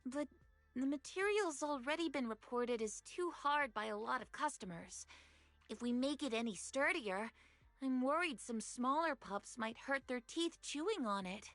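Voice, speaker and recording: A woman's voice: high-pitched, animated, moderate pace, fairly bright tone, dry recording. A woman voicing a polite, eager young shopkeeper with a courteous customer-service manner in an anime-style game.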